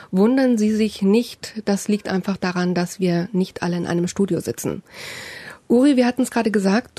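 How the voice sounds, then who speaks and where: A woman hosting a studio talk show, asking clear, measured questions. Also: mid-range voice, mellow, no room sound. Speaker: radio host. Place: radio studio.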